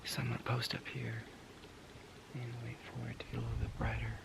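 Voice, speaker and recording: Gravelly man whispering outdoors. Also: mid-range voice, completely flat delivery, fairly quick, heavy background noise. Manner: mostly whispering to avoid spooking deer. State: scratchy throat. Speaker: hunter filming himself. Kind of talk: self-filmed outdoor commentary. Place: outdoors on snowy sage hillside. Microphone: handheld camera mic.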